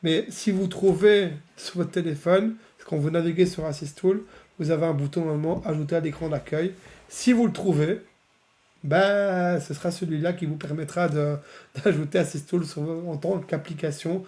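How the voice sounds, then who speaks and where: A man with a cold, a bit hoarse and nasal, casually presenting software on a live stream. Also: fairly high pitch, fairly even intonation, normal speed. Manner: chatty, many fillers, laughs often. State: has a cold, slightly hoarse.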